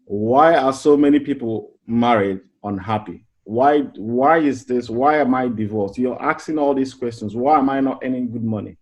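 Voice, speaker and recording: A man's voice: medium pitch, normal speed, hardly any pauses. A middle-aged man giving an informal motivational class as a life coach, earnest and conversational, often restarting phrases and asking rhetorical questions.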